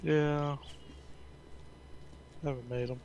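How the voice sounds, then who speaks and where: A man's voice: fairly high pitch, level tone, extremely slow, lo-fi sound, noisy background. A man chatting casually with teammates while he plays a multiplayer game. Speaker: player on the stream. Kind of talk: casual gaming chat.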